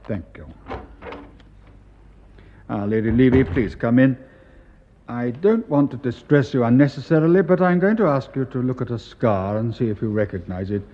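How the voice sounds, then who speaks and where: A man plays a grave English medical official in a radio drama. He is formal, careful and solicitous, with an educated accent and a middle-pitched voice. The recording is a touch dull. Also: animated, close to an everyday voice. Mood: officious. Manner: measured, polite, officious.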